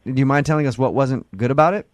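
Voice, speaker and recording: A man's voice: medium pitch, quick pace, no room sound. A man hosting a morning radio show, chatting casually and jokingly. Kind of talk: casual radio prank-call chat.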